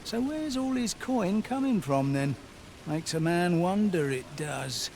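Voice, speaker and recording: Skeptical townsman: high-pitched, colloquial, gossipy, fantasy game dialogue with light background ambience. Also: animated, measured pace, darkish tone, slight background noise. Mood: skeptical, curious. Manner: colloquial folksy speech with tag questions. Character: skeptical, nosy male townsman idler.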